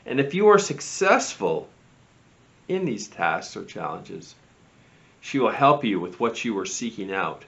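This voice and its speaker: Theatrical man hosting, slightly slow. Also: on the low side, sing-song, creaky, a bit bright. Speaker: show host. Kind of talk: presenting spooky lore segments. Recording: slightly dull, lo-fi audio.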